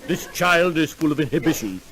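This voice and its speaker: An actor playing a pompous, self-assured psychoanalyst doctor in an old-time radio comedy sketch. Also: male voice, fairly high pitch, lively intonation, a bit bright, a faint crowd. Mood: patient, pompous. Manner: pompous, formal, deliberate questioning. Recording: old radio recording, faint noise.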